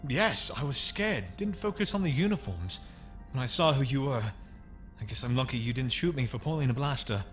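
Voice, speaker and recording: Man acting a nervous, halting, cowardly spacer. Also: medium pitch, expressive, close to an everyday voice. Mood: nervous, apologetic. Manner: hesitant, halting, apologetic. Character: nervous, apologetic, cowardly male freighter crewman. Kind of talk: sci-fi audio comic dub. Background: music.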